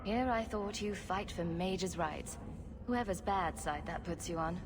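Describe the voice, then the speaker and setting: An actress playing a bold, quick-witted female rogue in a video game, with a dry, sardonic delivery. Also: fairly low pitch, background noise, close to an everyday voice. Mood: teasing.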